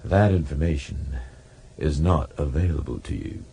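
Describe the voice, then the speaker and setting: A man's voice: rumbling low, normal speed, mellow, husky, pitched below the performer's own voice, heavily acted. Man voicing a cool, probing starship computer. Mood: cool, questioning. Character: ship's computer, cool, probing, lovesick machine.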